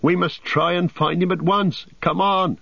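A man's voice: on the low side, slow pace, breathy edge, no room sound, lo-fi sound, heavily acted. Man doing a homely, loyal hobbit servant. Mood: alarmed. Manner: rustic, homely phrasing. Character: loyal, plain-spoken male hobbit servant, anxious.

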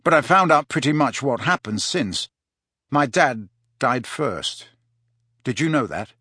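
A man voicing a determined teenage wizard boy, quiet and steady as he presses his point. The voice is slightly husky and dry, close to the reader's own. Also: medium pitch, measured pace, no room sound. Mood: quiet, grim.

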